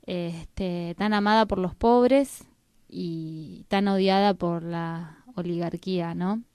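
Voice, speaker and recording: A woman's voice: lowish voice, slow pace, a little mellow, clean tone, no room sound. A woman with an Argentine accent, hosting a conversational discussion of history. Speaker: talk show host.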